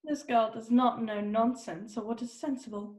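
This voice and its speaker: A woman's voice: a little high, steady tone, normal speed, darkish tone, reverberant, lightly acted. A woman playing an imperious, scolding queen in a children's readers' theater performance. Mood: haughty, scolding. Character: bossy, haughty queen ordering a young girl about.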